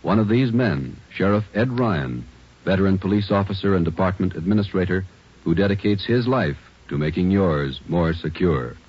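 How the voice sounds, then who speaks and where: A man's voice: sits low, animated, moderate pace, breathy edge. An American man announcing formally in stately 1940s radio style. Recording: muffled old radio recording.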